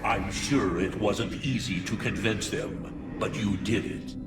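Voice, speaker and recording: A man's voice: fairly low pitch, slow pace. A man voicing a solemn, approving keeper of ancient power in a fantasy game, formal and assured. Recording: distant, echoing, loud music behind.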